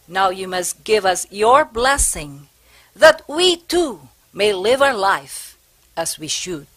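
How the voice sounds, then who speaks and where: A woman playing a devout, composed and firm Spanish prioress in a historical stage play, measured and courteous. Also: medium pitch, very animated, fairly bright tone, full character voice. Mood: reverent.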